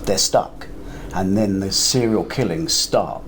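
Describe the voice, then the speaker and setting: Low-voiced older man chatting over noise. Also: takes it slowly. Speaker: interview guest, crime writer and filmmaker. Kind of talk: casual anecdotal panel talk. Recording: noticeable ambient noise, live event.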